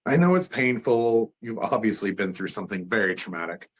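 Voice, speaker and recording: A man playing a measured, skeptical psychiatrist with a husky voice at a table read. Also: on the low side, big pitch swings. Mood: measured, probing.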